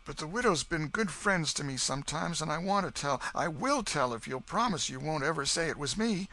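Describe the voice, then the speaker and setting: Bir adamın canlandırdığı korkmuş, yalvaran serseri çocuk. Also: male voice, expressive, brisk, bright, forceful tone. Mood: pleading. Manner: high, breathless pleading. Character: scared ragged boy, pleading and jumpy.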